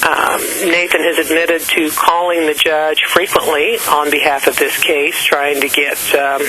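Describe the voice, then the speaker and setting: Slightly higher-voiced man as radio guest, explaining facts in a measured way, with clear broadcast hiss. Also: level tone. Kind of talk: radio interview.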